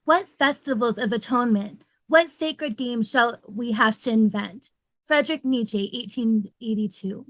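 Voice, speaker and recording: A woman's voice: a little high, unhurried, fairly bright tone, dry recording, rough recording. A woman in an amateur table read over a video call, playing a distraught male philosophy scholar who recites quotations. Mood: intense.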